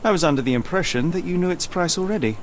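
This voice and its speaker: An actor playing a shrewd gentleman detective with formal, precise, probing speech in a scripted game. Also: a little high, expressive, quick pace, faint music behind, close to an everyday voice.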